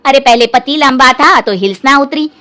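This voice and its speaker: A woman's voice: high voice, normal speed, sharp tone, pitched above the performer's own voice. A woman voicing a gossipy neighbour who taunts with mock pity, in a cartoon story.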